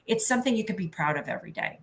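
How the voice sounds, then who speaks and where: A woman's voice: medium pitch, expressive, rapid-fire. A woman on a panel, earnestly giving encouraging career advice. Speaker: panel guest.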